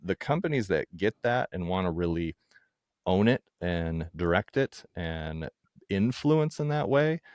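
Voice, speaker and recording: A man speaking casually as an interview guest, candid and informal, thinking out loud as he goes. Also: on the low side, no room sound, clean studio sound. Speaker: guest, founder of a podcast production company.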